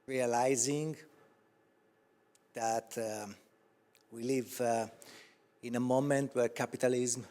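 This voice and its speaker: Middle-aged man, Italian accent, panel moderator, halting informal delivery, long hall reverb. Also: mid-range voice, a little mellow. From Italy. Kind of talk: informal public introduction.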